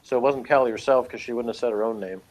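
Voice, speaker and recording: Male review co-host, mid-pitched, rambling and matter-of-fact, informal conversation. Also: very fast, darkish tone, rough recording. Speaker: podcast co-host reviewing a TV show. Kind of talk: casual review chat.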